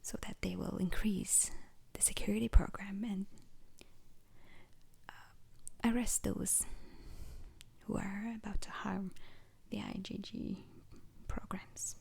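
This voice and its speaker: A woman playing a calm, formal instructor at a space agency in an ASMR roleplay, whispering slowly and haltingly with a breathy, husky voice. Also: mid-range voice, exaggerated voice. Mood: calm, instructive. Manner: slow, deliberate, halting, formal.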